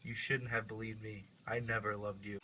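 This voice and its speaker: A man's voice: fairly low pitch, little pitch movement, normal speed, pushed and bright, a little hiss or hum, low-quality recording. A man playing a grieving, bitter young prince in an amateur puppet show. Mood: cold.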